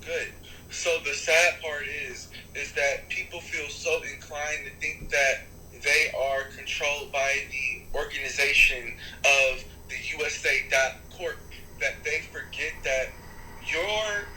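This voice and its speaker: A man who is a rapper and artist gives long, animated interview answers, often restarting his sentences and swearing for emphasis. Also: on the high side, slow, echoey room, a little hiss or hum, rough recording. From United States.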